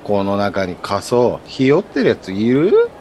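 A man acting a blunt, self-conscious ringleader of a friend group in a scripted radio drama, husky and casual. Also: lowish voice, wildly swooping pitch, a little slow, darkish tone, a little hiss or hum. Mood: indignant. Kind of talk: scripted radio drama dialogue in colloquial Japanese.

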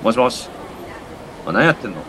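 A man acting a fussy, exasperated straight-man friend with quick retorts in a comedic radio drama. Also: medium pitch, very animated, a bit bright, a little hiss or hum. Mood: annoyed.

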